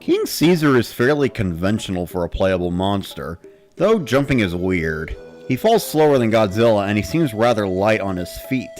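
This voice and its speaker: A man's voice: lowish voice, very animated, moderate pace, darkish tone, faint music behind. A man reading a first-person story as a dramatic narrator, in the style of a gamer recounting play.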